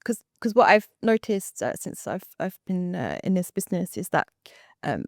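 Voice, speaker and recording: A woman's voice: on the low side, very animated, moderate pace, dry recording, studio quality. A woman hosting a casual interview, chatty with many fillers. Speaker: interview host.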